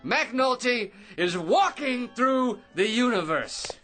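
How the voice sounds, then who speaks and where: Swooping, self-satisfied crackpot rambler. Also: male voice, very high-pitched, expressive, takes it slowly, over music, full character voice. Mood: gloating. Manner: rambling, stammering, repeats himself, giggly. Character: chattering crackpot man, grandiose, pushy and self-satisfied. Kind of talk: radio drama acting.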